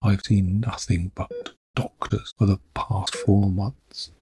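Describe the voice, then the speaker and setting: A man's voice: fairly low pitch, level tone, takes it slowly, dark tone, slight background noise. A man playing a frail, dying duke in an audio drama, courteous, grave and intent on his quest. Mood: courteous, weary.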